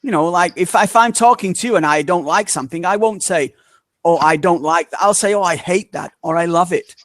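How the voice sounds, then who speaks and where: Relaxed British man, a bit high. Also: a little fast, dry recording, clean studio sound. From Manchester, England. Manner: relaxed and explanatory, many fillers and restarts. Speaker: interview guest, native English speaker. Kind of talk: casual video-call interview.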